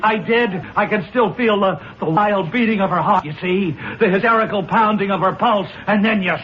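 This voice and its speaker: Man playing a high-pitched, manic young murder defendant. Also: normal speed, close to an everyday voice. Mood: manic, defiant. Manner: slangy, excitable, goading. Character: brash young male murder defendant, taunting, manic, streetwise. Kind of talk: 1970s radio drama acting. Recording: dull lo-fi 1970s radio recording, crowd murmur.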